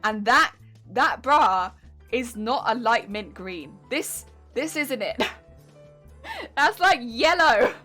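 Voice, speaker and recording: A woman's voice: on the high side, very animated, slow, sharp tone, soft background music. Chatty English woman streamer. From England. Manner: chatty, self-critical, answers chat questions, frequent fillers and laughs. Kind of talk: casual live-stream chat while sewing.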